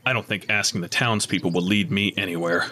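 A man's voice: low voice, fairly even intonation, a little fast, pushed and bright. A man playing a world-weary, skeptical murder investigator in an audio drama, terse and matter-of-fact. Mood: weary, probing. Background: music.

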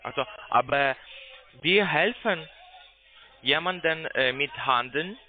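A man working as a call center agent, giving a halting, repetitive sales pitch as a non-native German speaker. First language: not German. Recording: tinny narrow phone line.

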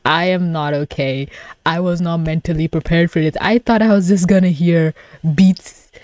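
Expressive young woman streamer, close dry sound. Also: lowish voice, normal speed, dry recording. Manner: expressive, exclaiming, swearing. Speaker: streamer reacting live. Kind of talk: live reaction commentary, casual chat.

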